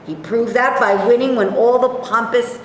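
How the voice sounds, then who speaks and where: An actor playing a brash, combative man who is quick to take offence, in a staged reading of a play. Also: very high-pitched, steady tone. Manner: blustery, confrontational. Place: indoor stage reading, live room. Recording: echoey hall, faint background noise.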